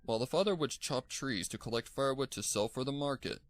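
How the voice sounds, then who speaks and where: A man's voice: mid-range voice, quick pace, no echo. A man reading a scripted story aloud as its narrator. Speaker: fan fiction narrator.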